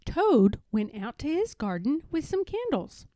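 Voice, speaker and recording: A woman narrating a children's storybook aloud as an expressive storyteller. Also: high-pitched, unhurried, no echo, clean studio sound.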